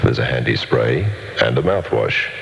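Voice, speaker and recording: A man with a rumbling, gravelly voice reading a 1970s radio commercial. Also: animated, normal speed, a bit bright, highs cut off, slight background noise. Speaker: commercial voice-over announcer. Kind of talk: slow radio advertisement narration. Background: music.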